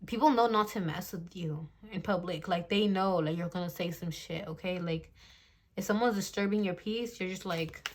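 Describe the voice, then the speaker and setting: A young woman in her twenties speaks in a slightly low voice as she gives a casual tarot reading into her phone. She is blunt and talkative, swears freely and often breaks into laughter. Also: moderate pace. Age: 20s. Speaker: tarot reader.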